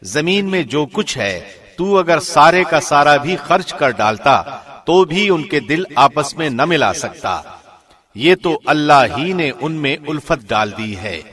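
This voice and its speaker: A man reading a scripture translation from a script in a measured, formal announcer's delivery. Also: fairly high pitch, very animated, a bit bright. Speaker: translation reader, radio host.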